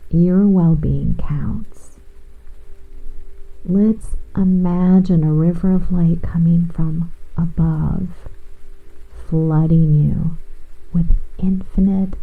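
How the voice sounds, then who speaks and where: A woman with a gravelly, creaky edge leads a sleep meditation in a hushed, soothing way. She speaks very slowly, leaving long pauses between short phrases. The sound is slightly muffled, with soft music behind her. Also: fairly low pitch. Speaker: sleep hypnosis guide. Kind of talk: guided sleep meditation, hypnosis narration. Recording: muffled, band-limited, with music and rain. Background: soft ambient music and gentle rain.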